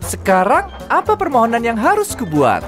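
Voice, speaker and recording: Lively high-pitched young boy train. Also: moderate pace. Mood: cheerful. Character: cheerful, eager, helpful young male tank engine. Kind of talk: children's cartoon dub. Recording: background music under the voice.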